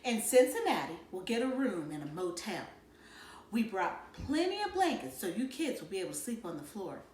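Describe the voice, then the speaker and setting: A woman's voice: medium pitch, big pitch swings, moderate pace, darkish tone, reverberant, close to an everyday voice. A woman voicing a patient, reassuring mother talking to her children in a storybook. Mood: organizing.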